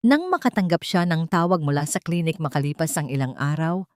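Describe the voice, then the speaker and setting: Woman, Filipino accent, lowish voice, dramatic scripted storytelling, very dry recording. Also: expressive, a little fast, dry recording. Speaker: narrator.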